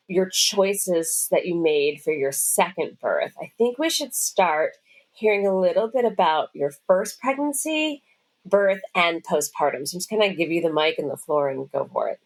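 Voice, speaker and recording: A woman's voice: fairly low pitch, sing-song, normal speed, rough recording. A woman from the Boston area, with no regional accent, hosting a podcast interview and encouraging her guest with enthusiasm. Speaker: podcast host. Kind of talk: casual interview.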